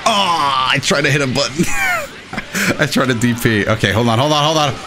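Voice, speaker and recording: A man giving casual, excitable commentary while he plays a video game, with playful dramatic flourishes. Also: mid-range voice, moderate pace, background noise. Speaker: gaming video host and player. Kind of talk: casual live gameplay commentary.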